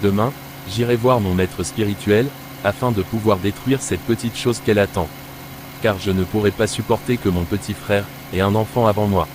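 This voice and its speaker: A man's voice: lowish voice, fairly even intonation, moderate pace, noisy background, natural voice. A man voicing a jealous, scheming older brother. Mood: resentful.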